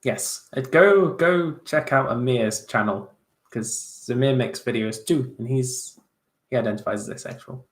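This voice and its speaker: A man's voice: medium pitch, a little slow. A young man chatting casually as he answers viewer questions on a livestream, self-deprecating, with many fillers and restarts. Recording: roomy echo.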